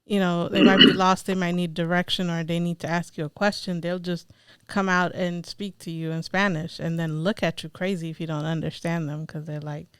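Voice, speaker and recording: A woman who grew up in Ethiopia co-hosts a podcast and chats in an easygoing way. Her voice is smooth and resonant, and the recording is close and dry. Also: on the low side, little pitch movement, brisk, hardly any pauses, dry recording. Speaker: podcast co-host. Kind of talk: casual conversation.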